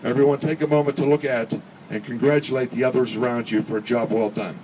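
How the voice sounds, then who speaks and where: A man reading a prepared speech at a charity event in an earnest, measured way, occasionally stumbling over words. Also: medium pitch, fairly even intonation. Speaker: speaker reading another person's speech. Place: charity event finish line, before a gathering. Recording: distant PA speech with background noise.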